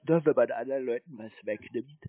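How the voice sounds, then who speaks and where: Husky deadpan man on the phone. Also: mid-range voice, a little fast, no echo. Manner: deadpan, playing naive. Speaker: prank call recipient stringing along a scammer. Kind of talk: phone conversation. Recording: muffled narrowband phone audio.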